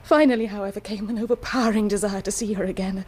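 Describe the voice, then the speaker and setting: An actress playing an anguished, dignified married woman confessing a secret, speaking formally and with deliberate passion in a period radio drama. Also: medium pitch, fairly even intonation, a little mellow, breathy edge, natural voice. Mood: anguished confession. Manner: formal, deliberate, impassioned, declamatory.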